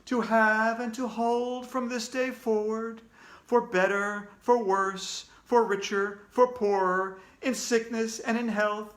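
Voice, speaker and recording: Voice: medium pitch, little pitch movement, takes it slowly, a bit bright, echoey room, voice pushed up. A pompous small-town mayor drones through wedding vows in a raised, officious voice, as a reader acts him out. He sounds formal and oblivious, a storybook character.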